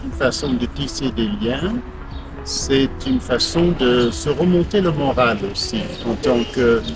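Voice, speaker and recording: An older man giving a spontaneous, reflective testimonial in a halting way. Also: medium pitch, distant mic, poor audio. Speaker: francophone lawyer, congress member. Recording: off-mic voice under clear music.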